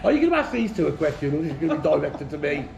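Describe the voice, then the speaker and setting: A man's voice: a little high, big pitch swings, rapid-fire, gravelly, a little mellow, roomy sound, muffled recording. A man from the English Midlands hosting a casual chat, teasing his friends bluntly and laughing often. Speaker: channel host. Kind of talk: joking banter among friends.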